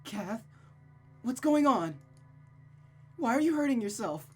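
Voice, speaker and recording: Woman playing an anxious, high-voiced young woman. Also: very animated, slow pace, slightly rough, soft background music, exaggerated voice. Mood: alarmed, urgent. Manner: worried, urgent. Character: anxious, caring young woman, pleading with her friend.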